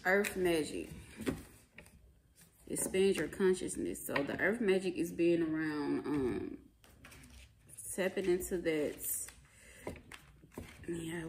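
A woman's voice: fairly low pitch, darkish tone. A woman giving an informal tarot reading in blunt, profane, emphatic and slangy conversational speech. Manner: colloquial, slangy phrasing. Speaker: tarot reader. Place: small indoor living room at a table. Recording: clearly noisy home recording, card clicks.

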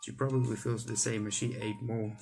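A man's voice: lowish voice, level tone, fairly quick, vocal fry, darkish tone. A young man hosting a gaming video, reading a visual novel aloud with casual commentary and stumbling over the odd line. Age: young adult. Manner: stumbles over text and rereads lines. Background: game music.

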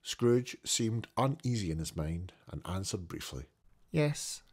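A man reading a classic story as an audiobook narrator, theatrical and expressive in his scripted delivery. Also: sits low, measured pace, breathy edge.

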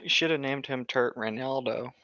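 A man's voice: medium pitch, normal speed, pushed and bright, no room sound. A man in casual, flippant banter as a podcast co-host, heard through a lo-fi microphone. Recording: dull lo-fi microphone sound.

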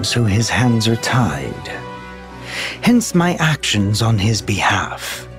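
A scheming video game scientist, formal and persuasive, who speaks slowly. His pitch swings widely, and soft music plays behind him. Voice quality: measured, formal phrasing. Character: scheming male scientist seeking atonement.

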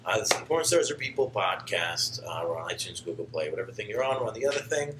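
Fast-talking man hosting in an echoey room. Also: fairly low pitch, big pitch swings, fairly bright tone, slight background noise. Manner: jokey, rambling, quick. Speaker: podcast host. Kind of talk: casual interview chat. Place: indoor convention lounge on a couch. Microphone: handheld mic. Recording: echoey room with faint ambience.

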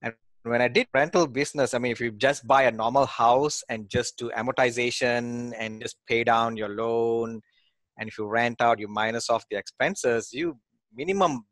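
A man's voice: medium pitch, steady tone, normal speed, fairly bright tone, dry recording. A man in his forties from Malaysia, talking casually as an interview guest over a video call from a home office, with many fillers and restarts. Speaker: guest, real estate investor. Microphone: headset on video call.

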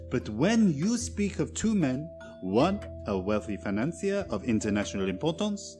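Casting: self-assured Belgian sleuth, French-speaking accent, courtly and precise, lively swooping delivery, old radio mystery. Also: male voice, mid-range voice. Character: polite, shrewd, self-assured Belgian male detective. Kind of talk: 1940s radio drama acting. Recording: old radio drama with music.

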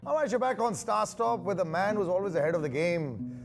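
Man, 30s, show host, playful, very lively pitch, quick delivery. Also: creaky, over loud music. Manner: playful, jokey, mixes English and Hindi. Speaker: interview host. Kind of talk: entertainment interview. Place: small dark studio set, on a sofa.

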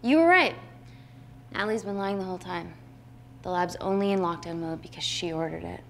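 A woman's voice: lowish voice, a little fast, fairly bright tone. Tense young woman scientist. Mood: frustrated. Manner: terse, serious. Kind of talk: screen drama acting.

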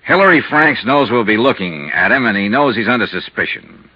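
An actor playing a hard-boiled, weary insurance investigator in a 1950s radio detective drama. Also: male voice, on the low side, expressive, brisk, husky. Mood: grim, determined. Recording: muffled 1950s radio recording.

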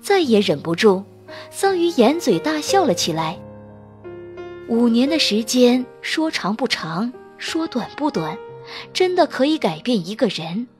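A woman narrates a romance audiobook in a smooth, expressive storytelling manner. Her voice is high and very lively in pitch, with soft music running under it. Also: unhurried. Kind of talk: scripted narration of a romance novel.

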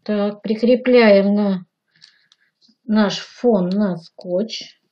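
A woman gives step-by-step instructions as a teacher. Her voice is soft-edged and mellow, and she speaks slowly in short, simple phrases. The sound is slightly dull. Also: medium pitch. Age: 60s. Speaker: children's art and animation teacher. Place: indoor room, plain wall.

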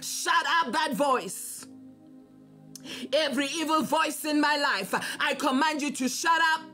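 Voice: high voice, measured pace, sharp tone, soft background music. A preacher delivering a fervent prophetic sermon and declarative prayer in an emphatic, repetitive, exhorting manner.

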